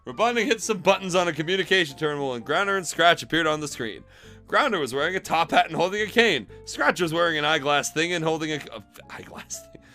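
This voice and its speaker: A man narrates fan fiction for laughs on a stream. His voice is high, bright and animated, with an amused edge. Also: fast talker, faint music behind. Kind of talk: comic narrated reading.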